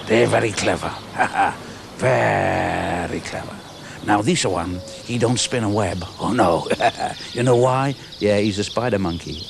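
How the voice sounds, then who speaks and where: Cheeky old male llama, played by a man, gossipy, broken English, gravelly, on a noisy kids' TV track. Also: medium pitch. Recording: noisy recording.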